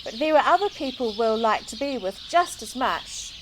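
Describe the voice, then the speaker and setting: A woman from New Zealand reading a children's storybook aloud in an expressive, deliberate way. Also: fairly high pitch, background noise. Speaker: children's book reader. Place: outdoors in a garden. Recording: outdoor recording with clear birdsong. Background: birdsong.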